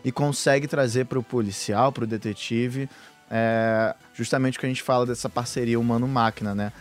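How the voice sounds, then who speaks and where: A man's voice: medium pitch, fairly even intonation, normal speed. Man, podcast co-host, casual chat, many fillers and restarts, faint background music.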